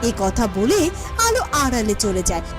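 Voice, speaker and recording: A woman's voice: mid-range voice, big pitch swings, moderate pace, over music. A woman giving scripted narration for a fairy-tale cartoon.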